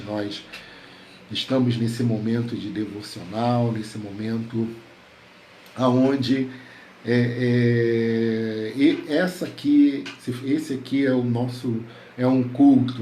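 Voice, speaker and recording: A man's voice: medium pitch, mellow. A male pastor with a slightly nasal voice from a head cold, praying fervently and speaking to his congregation from home over a headset. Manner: fervent prayer full of repeated invocations. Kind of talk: prayer and devotional address. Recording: echoey room, faint background noise.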